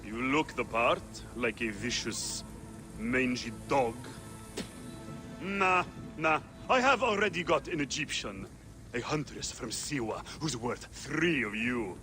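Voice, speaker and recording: An actor playing a brash, mocking Roman arena owner in a video game cutscene, insulting and dismissive. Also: male voice, medium pitch, lively intonation, slow pace, over music. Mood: mocking, impatient.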